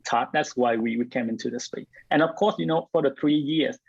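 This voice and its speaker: A man's voice: mid-range voice, a little fast, vocal fry, husky, no room sound. Hesitant Vietnam-born man, dry lo-fi sound. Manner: hesitant, many fillers and restarts. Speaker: blockchain company co-founder and CTO, guest. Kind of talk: casual interview answers.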